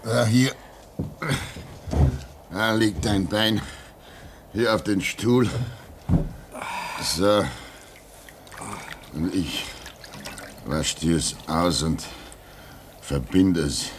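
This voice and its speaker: An actor playing a lonely, devout radio operator at an Arctic station in a radio play, with a pleading, prayerful manner. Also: male voice, low voice, very slow, pauses often, noisy background, heavily acted. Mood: soothing, sly.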